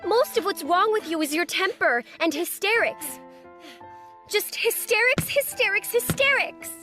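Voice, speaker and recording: A man voicing a bossy, quick-tempered young girl who shouts commands in a storybook reading. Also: very high-pitched, expressive, faint music behind, pitched above the performer's own voice, exaggerated voice. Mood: furious.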